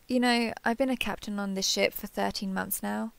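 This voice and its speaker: A woman playing an earnest, affectionate starship captain in an intimate sci-fi audio roleplay, speaking haltingly and confessionally. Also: medium pitch, fairly even intonation, creaky, dry recording, natural voice. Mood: reflective, fond. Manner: hesitant, halting, apologetic, confessional.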